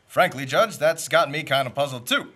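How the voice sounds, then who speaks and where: A young man doing a bright, wisecracking shyster lawyer. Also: on the high side, expressive, husky. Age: young adult. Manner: rapid wisecracks, mock-grand speeches. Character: fast-talking, greedy male shyster lawyer. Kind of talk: comic radio play acting.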